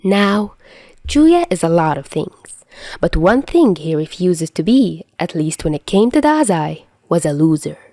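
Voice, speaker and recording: A woman's voice: lowish voice, sing-song, a little slow, mellow, no room sound, studio quality. A woman narrating a story as a podfic reader, in a scripted read-aloud style.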